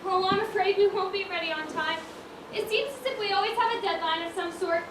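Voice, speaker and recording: A woman's voice: extremely high pitch, fairly quick. A woman on stage playing a prim, wistful widowed dress-shop owner, full of old-fashioned exclamations. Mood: busy, fretful. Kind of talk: amateur stage acting, one-act school play. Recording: roomy stage, distant mic, clear noise.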